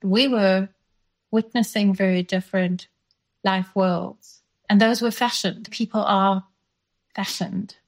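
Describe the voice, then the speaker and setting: A woman's voice: mid-range voice, fairly even intonation, slow pace. A woman in her fifties from South Africa, raised speaking Dutch, recalling her past thoughtfully in an interview with frequent hedges and restarts. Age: 50s. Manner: thoughtful, many fillers and restarts. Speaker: guest. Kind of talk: reflective interview.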